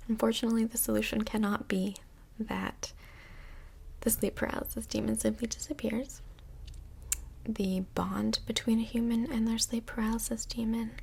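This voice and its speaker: A woman playing a polite, busy stand-in couples counselor in Hell in a soft-spoken ASMR roleplay, with a breathy, whispery voice and measured, tactful, professional phrasing. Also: a little high, a little hiss or hum.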